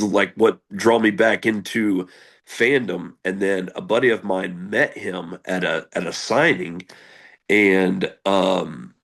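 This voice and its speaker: A man's voice: low voice, vocal fry, a bit bright. A man in his thirties, a wrestler talking as a guest in a casual interview, rambling with lots of fillers and restarts, candid and earnest.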